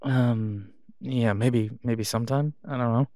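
A man plays a reluctant werewolf alpha in an audio roleplay. His delivery is casual and understated, with an awkward hesitance. The voice sits at a medium pitch in a dry, close recording. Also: steady tone, unhurried, dark tone, no room sound, lightly acted. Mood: awkward, wary. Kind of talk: naturalistic audio roleplay acting.

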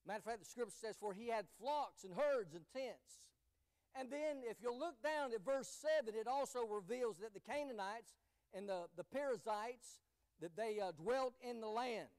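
A man's voice: extremely high pitch, animated, normal speed, a little mellow. A man preaching a sermon from a church pulpit, emphatic and repeating phrases for weight. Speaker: pastor. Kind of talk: sermon with scripture reading.

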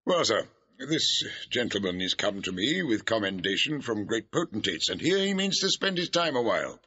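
A man's voice: on the low side, very animated, normal speed, bright, forceful tone, breathy edge, lo-fi sound, heavily acted. An actor playing a dignified, hearty lord and father in classical Shakespearean style, declaiming with authority. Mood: stately. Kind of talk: classical Shakespearean audio drama acting.

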